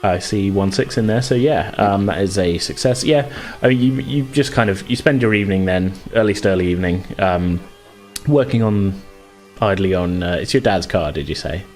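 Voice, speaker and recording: A man's voice: deep voice, moderate pace, a little mellow, faint music behind. A man acting as game master, improvising tabletop game narration in informal, hesitant phrasing.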